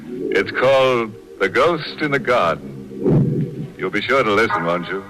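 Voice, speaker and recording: An actor playing a sardonic, ghoulish 1940s radio horror host, wry and macabre with mock politeness. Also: male voice, fairly low pitch, expressive, unhurried, low-quality recording. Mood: mock-friendly, sinister. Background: music.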